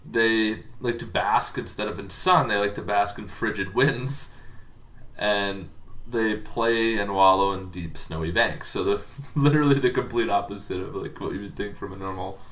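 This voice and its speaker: A man's voice: on the low side, normal speed, fairly bright tone. A man hosting a casual, informal explainer on tabletop game monsters, talking in a rambling, hesitant way as if thinking aloud.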